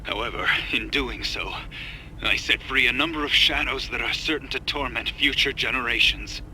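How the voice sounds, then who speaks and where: A man's voice: medium pitch, moderate pace, pushed and bright, slight background noise, poor audio. An actor playing a grave, remorseful middle-aged scientist father leaving a pleading video message, in a game cutscene. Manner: earnest, pleading.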